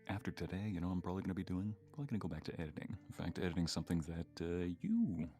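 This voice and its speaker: A man's voice: sits low, big pitch swings, racing, warm tone. A man talking casually and playfully on a live stream, in a chatty way. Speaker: voice actor and guest creator. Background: faint background music with guitar.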